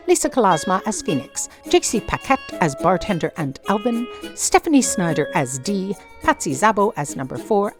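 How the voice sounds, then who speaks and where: A woman announcer reads scripted end credits in a low register. Her delivery is animated and varied, and music plays clearly behind her.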